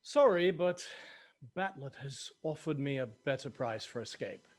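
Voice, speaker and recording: A man's voice: mid-range voice, expressive, unhurried, no echo, natural voice. A man playing a smug, mercenary pirate captain with a sly, offhand manner at a table read.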